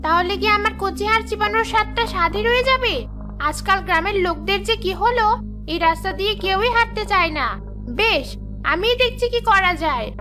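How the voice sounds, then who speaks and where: Children's cartoon villain: menacing female ghost, piercingly high voice, hungry and wicked, music behind. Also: expressive, moderate pace. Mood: gleeful, scheming. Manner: gloating, menacing.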